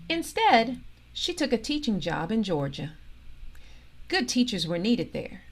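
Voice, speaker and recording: A woman reading a children's storybook aloud in an expressive, deliberate way, pausing for emphasis. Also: fairly high pitch. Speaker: storybook reader, organisation president.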